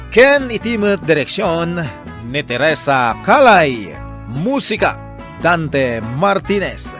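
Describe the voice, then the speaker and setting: A man's voice: a little high, a bit bright. A man announcing a radio drama programme in a declamatory, scripted style.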